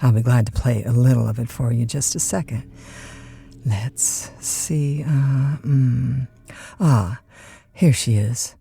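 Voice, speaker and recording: A woman's voice: a bass voice, slow, creaky, warm tone, husky, full character voice. A woman playing a chatty, lonesome overnight disc jockey in an audio drama, with a folksy, polite, hesitant conversational manner. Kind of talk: naturalistic audio drama acting, one side of phone calls.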